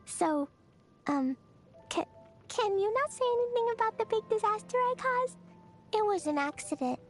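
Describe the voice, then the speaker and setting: A woman's voice: very high-pitched, expressive, moderate pace, faint music behind. A woman voicing an eager, mischievous little girl knight with a childlike, cutesy manner in a video game. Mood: sheepish. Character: hyperactive, mischievous little girl knight who loves bombs. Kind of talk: video game character voice lines.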